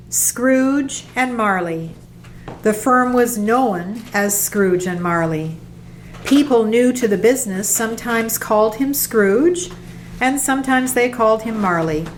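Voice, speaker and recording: A woman narrating a radio play in a measured storybook manner. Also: a little high, a little hiss or hum, low-quality recording.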